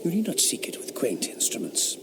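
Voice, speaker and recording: Radio play: a scornful 1760s philosopher man, lecturing and whispering, gravelly, wide pitch swings. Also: huge pitch swings, brisk. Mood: intense. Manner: sneering, lecturing, drops to intense whispers. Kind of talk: radio drama acting.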